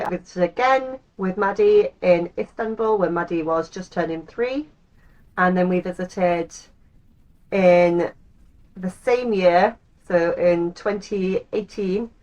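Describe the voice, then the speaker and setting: An English woman in her thirties talks straight to camera as the host of her own channel. Her voice sits a little low, and she chats in a loose, rambling way, often pausing on an um. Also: poor audio. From England. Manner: chatty, rambling with frequent ums. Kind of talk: casual talk to camera.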